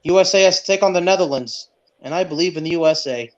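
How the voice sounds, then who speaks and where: A man co-hosting a sports podcast in casual chat, speaking haltingly and repeating words as he goes. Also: fairly high pitch. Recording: dull, lo-fi sound.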